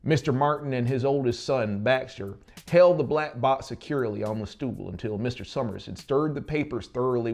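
A man's voice: mid-range voice, gravelly, darkish tone. A man in his thirties narrating a story dramatically as an audiobook, close to the mic. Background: music.

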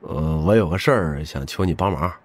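A man's voice: sits low, brisk, slightly rough, dry recording. A man playing a cheeky, eager young buddy, playful and wheedling, in an audiobook drama. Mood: coaxing.

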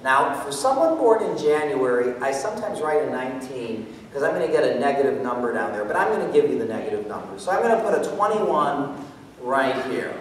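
A middle-aged man giving a live math demonstration to an audience, explaining step by step in an animated manner. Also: mid-range voice, a little fast. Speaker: presenter demonstrating mental math. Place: large auditorium stage, indoors. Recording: echoey hall from far off, background noise.

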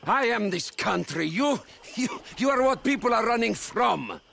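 Gravelly, contemptuous crime boss. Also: male voice, extremely high pitch, lively intonation, normal speed, pushed and bright, slight background noise, exaggerated voice. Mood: boastful rage. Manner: theatrical, contemptuous, emphatic. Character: vain, menacing crime boss who runs a city. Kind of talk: video game cutscene acting.

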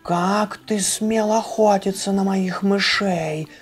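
A reader as haughty storybook mother-like cat, unhurried. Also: mid-range voice, steady tone, faint music behind, pitched above the performer's own voice, natural voice. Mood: indignant. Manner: important, indignant, lecturing. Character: haughty grown female cat, stern but pitying. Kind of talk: children's storybook reading.

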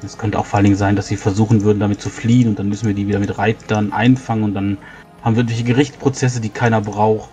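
A man's voice: on the low side, flat delivery, rapid-fire, darkish tone, faint music behind. A man improvising as a tabletop role-player, explaining things in a rambling way. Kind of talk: in-character improvised dialogue.

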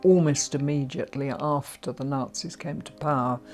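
An older woman from Germany giving documentary interview testimony, measured and reflective, with hesitations. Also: rumbling low, a little mellow, faint music behind. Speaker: Holocaust survivor interviewee. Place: book-lined study indoors.

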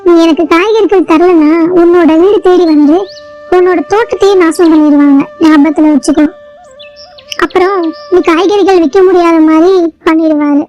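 A woman's voice: extremely high pitch, normal speed, dark tone, faint music behind, voice pushed up. A woman voicing a pushy, scheming female bird housewife in a children's cartoon moral story, demanding and wheedling by turns. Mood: threatening.

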